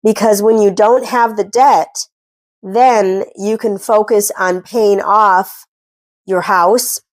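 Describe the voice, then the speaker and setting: A woman's voice: mid-range voice, steady tone, takes it slowly, no echo. A woman with a cold talking from home, giving chatty, earnest advice about getting out of debt in a self-deprecating way. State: congested from a cold. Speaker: personal finance vlogger. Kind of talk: casual talking-head advice.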